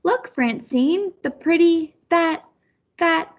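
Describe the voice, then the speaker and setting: A woman voicing a forgetful elderly grandmother from a storybook, affectionate but easily annoyed, halting as she searches for words. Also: very high-pitched, expressive, lo-fi sound, voice pushed up, heavily acted.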